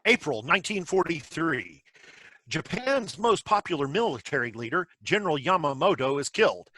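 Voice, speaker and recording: High-pitched, animated male announcer. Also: normal speed. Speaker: announcer in a play reading. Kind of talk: scripted news-style narration. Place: at home on a video call.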